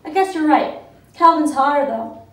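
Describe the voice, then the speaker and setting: A woman's voice: high voice, normal speed, vocal fry, a bit bright, pitched above the performer's own voice. A woman voicing a breezy, self-absorbed famous young woman in a comic story read live. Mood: casually bossy. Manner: breezy, bubbly, oblivious. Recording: roomy, distant, noisy live-event recording.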